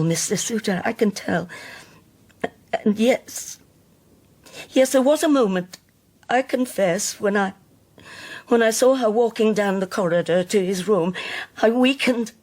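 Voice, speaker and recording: Actress as elderly religious zealot, slow halting delivery, husky, cold, self-righteous, radio drama. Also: female voice, medium pitch, a little mellow, natural voice. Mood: cold, defiant, then wavering, confessional. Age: older. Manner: deliberate, halting, pious.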